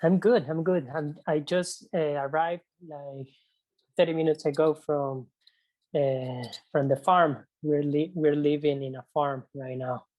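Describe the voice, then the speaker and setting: A young man from Ecuador, speaking English as an as a podcast guest in a hesitant, halting way with many fillers. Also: on the high side, fairly even intonation, narrow, tinny audio. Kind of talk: casual interview conversation.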